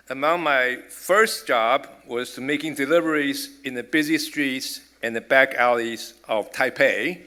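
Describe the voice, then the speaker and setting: A middle-aged man from Taiwan with a Taiwanese accent, delivering a formal prepared speech as a college president in a measured, earnest way. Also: on the high side, pushed and bright, smooth.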